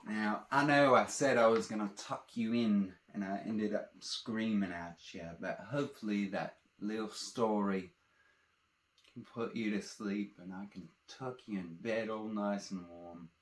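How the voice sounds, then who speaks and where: A man's voice: on the low side, unhurried. A man telling a fantasy adventure story in a dramatic, theatrical way, addressing listeners directly. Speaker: storyteller and author reading his own fantasy tale. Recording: roomy echo.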